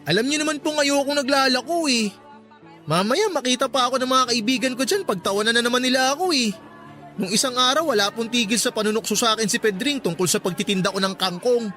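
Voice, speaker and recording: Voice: mid-range voice, quick pace, bright, forceful tone, soft background music, close to an everyday voice. An actor playing a resentful, whining young son who talks back to his mother in a Tagalog radio drama. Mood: whining, defiant. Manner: complaining, talks back, sulky.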